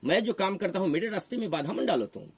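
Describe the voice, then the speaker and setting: Male holy man, Bihari accent, high swinging pitch, assertive, admonishing argument. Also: animated, fast, a little mellow, slightly rough. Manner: assertive, admonishing, rhetorical questioning. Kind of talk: heated argument, preaching.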